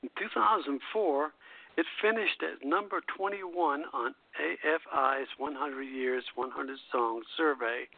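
Man with creaky voice on phone-like line. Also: medium pitch. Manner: halting reading, stumbles over words and corrects himself. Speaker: radio show host. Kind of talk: reading aloud a scripted music history. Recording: muffled phone-like internet radio line.

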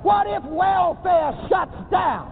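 A man with a very high voice preaches hard, shouting his lines and calling the congregation to repeat after him. It comes through a thin, tinny recording, with a loud crowd and noise all around. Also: animated, takes it slowly. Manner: shouting, call-and-response preaching. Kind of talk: sermon. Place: church.

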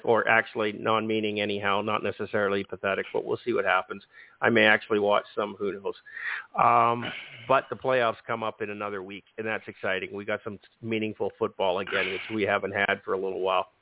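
A man hosts a casual sports podcast while he is losing his voice, so he sounds hoarse and strained. He stumbles, repeats words and restarts his sentences. The sound comes over a dry, tinny, phone-like line. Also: lowish voice, little pitch movement, dry recording. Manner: halting, repeats words when starting. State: losing his voice, sore throat. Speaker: podcast host.

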